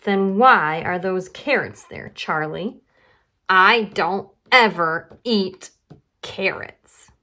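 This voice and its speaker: Woman reader playing small, picky little sister in a children's storybook. Slow, emphatic, drawn-out words. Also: medium pitch, pushed and bright. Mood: doubtful, picky. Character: small, funny, very fussy little girl.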